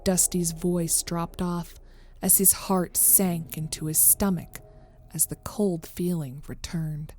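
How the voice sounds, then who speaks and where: A woman's voice: on the low side, steady tone, takes it slowly, mellow, slight background noise. A woman reading scripted narration of a horror story as the narrator.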